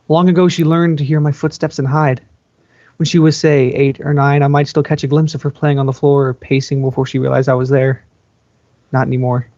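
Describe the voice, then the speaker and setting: A man's voice: mid-range voice, darkish tone, dry recording. A man giving an informal amateur read-aloud of a first-person story. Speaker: amateur fan fiction reader. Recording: dry, dull lo-fi home recording.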